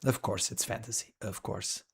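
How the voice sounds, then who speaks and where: A man's voice: on the low side, fairly even intonation, normal speed, vocal fry, slight background noise. A male streamer talking casually and wryly while browsing a list, rambling with frequent "dude" and "man".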